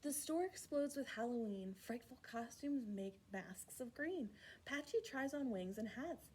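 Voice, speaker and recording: A woman hosting a children's storytime, reading a rhyming picture book aloud in an expressive, playful way with asides to young listeners. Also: fairly high pitch, a little slow, slight background noise. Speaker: children's library storytime host.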